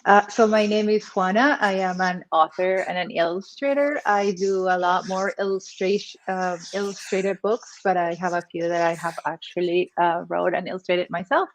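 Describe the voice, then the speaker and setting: A woman's voice: fairly low pitch, fairly even intonation, moderate pace, hardly any pauses, a little hiss or hum, poor audio. A woman in her forties from Lima, Peru, a native Spanish speaker, introducing herself as a panel guest over a video call and laughing often.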